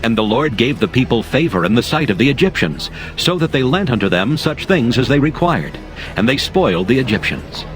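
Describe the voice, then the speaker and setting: Steady formal male scripture narrator. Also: medium pitch, fairly quick. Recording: music under the voice.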